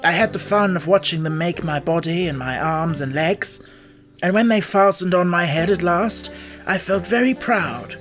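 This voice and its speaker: A man's voice: sits high, moderate pace, a bit bright, faint music behind. A man voicing an earnest, simple-hearted scarecrow made of straw, telling things in a storybook reading. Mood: proud.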